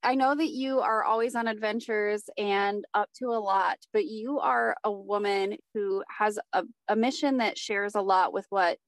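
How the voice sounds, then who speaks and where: A woman's voice: medium pitch, fairly even intonation, normal speed, hardly any pauses, dry recording. A woman hosting a podcast, introducing a guest and asking questions conversationally. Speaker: podcast host. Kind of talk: interview.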